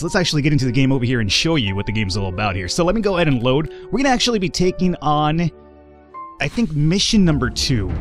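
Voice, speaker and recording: A young man hosting a let's play, walking through a strategy game in a rambling, self-correcting way, recorded at a home desk mic. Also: mid-range voice. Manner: rambling, self-correcting, chuckles at his own slips. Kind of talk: gameplay walkthrough commentary. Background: game music.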